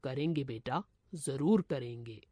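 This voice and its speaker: Woman as a low-voiced kindly father. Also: expressive, measured pace, noisy background. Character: kindly father, calm and reassuring.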